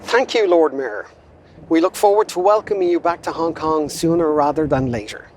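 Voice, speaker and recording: Diplomat host, man, slightly raised pitch, polished and measured. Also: darkish tone, a little hiss or hum. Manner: measured, courteous introductions. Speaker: consul general. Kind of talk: formal hosting remarks.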